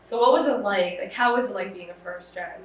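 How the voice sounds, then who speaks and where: A woman's voice: mid-range voice, brisk. Young woman host, stuffy nasal voice, friendly, very echoey, far from mic. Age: young adult. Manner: friendly, with many "mm" backchannels. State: stuffy nose, a little nasal. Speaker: host, student interviewer. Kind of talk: casual interview hosting. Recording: very echoey room, away from the mic.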